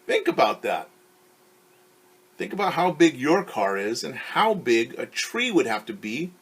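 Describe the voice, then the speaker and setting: A man's voice: medium pitch, a bit bright, husky, rough recording. A male teacher reading a picture book aloud to children, stopping to explain words and ask them questions. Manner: pauses to explain words and quiz young listeners.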